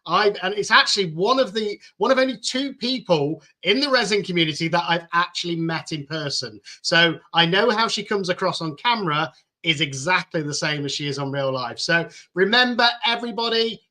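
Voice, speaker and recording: A man's voice: high voice, expressive, normal speed, bright, forceful tone. A man hosting a casual live-stream chat, upbeat and encouraging, speaking over a video call. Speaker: host.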